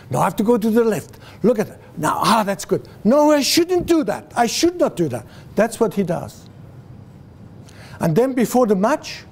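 A man's voice: sits high, a little mellow. A man in his sixties with a Swiss accent, giving a guest lecture to students in a classroom, gesturing and asking the audience questions, with many fillers and restarts. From Switzerland. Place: classroom with a screen, indoors. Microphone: far camera, no mic seen.

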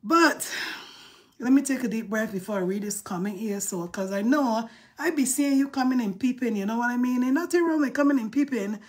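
A woman's voice: on the high side, a little fast, breathy edge. A middle-aged woman with a Barbadian accent, chatting playfully and sassily on a phone live stream from a room indoors. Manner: chatty and playful, sassy asides. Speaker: livestream host. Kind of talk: casual live-stream chat. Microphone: phone held close, selfie framing.

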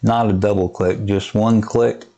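Low, even-toned man walking through software. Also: measured pace, vocal fry. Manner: hesitant, thinking aloud as he goes. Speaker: amateur radio hobbyist giving a software tutorial. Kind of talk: casual unscripted screen-share tutorial. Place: indoors at a computer, voice-over of screen recording.

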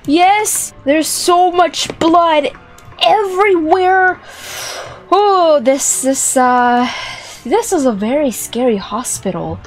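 A young woman giving playful, excitable, dramatic commentary as she plays a video game. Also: high voice, takes it slowly, rough recording. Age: young adult. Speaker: gaming video host. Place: indoor room with plush toys. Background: music.

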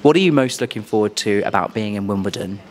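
A man's voice: lowish voice, fast talker. Enthusiastic young male interviewer. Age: young adult. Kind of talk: upbeat casual on-camera interview. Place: small indoor room on a sofa. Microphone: handheld reporter microphone.

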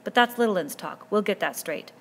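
A woman's voice: medium pitch, expressive, brisk, a little hiss or hum, voice pushed up, natural voice. A woman voicing an earnest boy chief. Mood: urgent. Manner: pleading, insistent speechmaking.

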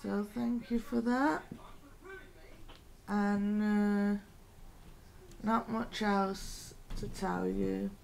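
A young woman vlogging at home through her laptop webcam, talking slowly and quietly with a hoarse, croaky voice from a sore throat. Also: mid-range voice, fairly even intonation. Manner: slow, rambling and quiet. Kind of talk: casual home vlog. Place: living room at home.

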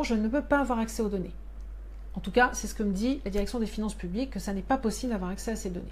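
A woman's voice: a little high, darkish tone. A woman in her fifties, speaking as a parliament member to her constituents abroad in a monthly live stream from a small office, explaining earnestly with many hand gestures. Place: small office with shelves of binders. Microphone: webcam or phone at desk.

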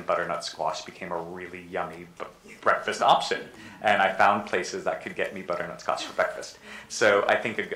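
Deep bright-voiced man on a panel. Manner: rambling, restarts often, earnest. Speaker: panelist, natural foods school head. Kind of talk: panel discussion. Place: lecture room with audience. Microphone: table mic. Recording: echoey room, faint noise.

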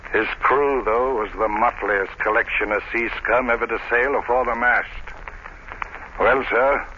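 Deep-voiced, salty old sailor. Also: male voice, pushed and bright. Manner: salty, solemn, dramatic storytelling. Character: weathered old sailor, haunted shipwreck survivor, storytelling. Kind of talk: 1930s radio drama acting. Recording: noisy, dull vintage 1930s radio recording.

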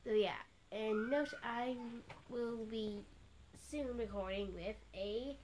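A young girl chatting with a friend during a game video, rambling with lots of pauses and self-corrections. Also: fairly high pitch, fairly even intonation, darkish tone, a little hiss or hum. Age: child. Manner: rambling, many fillers and restarts. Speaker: friend co-hosting. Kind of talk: casual chat.

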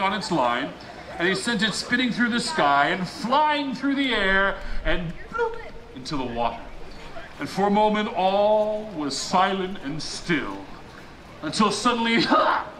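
A man from Hawaii performing live as a storyteller, telling a legend theatrically with dramatic pauses and drawn-out emphatic words. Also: high-pitched. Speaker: resort storyteller. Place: outdoor poolside deck at a resort. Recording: roomy, noisy outdoor live recording.

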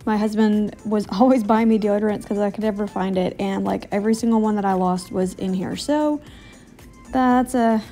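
A woman's voice: mid-range voice, moderate pace, warm tone, faint music behind. A woman in her thirties with an American accent, chatting casually and informally as a self-deprecating homemaking vlogger.

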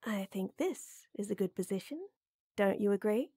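A woman playing a tall, tender, teasing lady knight in an intimate roleplay audio, coaxing softly. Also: medium pitch, animated, moderate pace, no room sound, clean studio sound. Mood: flirty, teasing. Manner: soft, teasing, coaxing, with breathy near-whispers.

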